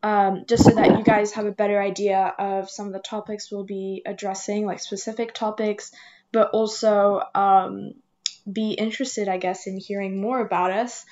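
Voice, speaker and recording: A woman's voice: mid-range voice, level tone, moderate pace, a little mellow. A young woman of about twenty from Hong Kong, co-hosting a podcast in a casual, chatty style. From Hong Kong, French-speaking household. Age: around 20. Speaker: podcast co-host. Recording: slightly dull, muffled microphone sound.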